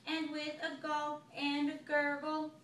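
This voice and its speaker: A woman's voice: high-pitched, completely flat delivery, slow pace. A woman teacher reading a picture book aloud to a child, telling it expressively and stopping to ask questions. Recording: echoey room, recorded from far off, noisy.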